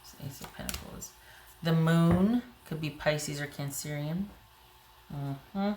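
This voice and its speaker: A woman reading tarot cards to camera in a casual way, a little hoarse, thinking aloud as she pulls the cards. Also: sits low, slow, echoey room, background noise. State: slightly hoarse. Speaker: tarot reader.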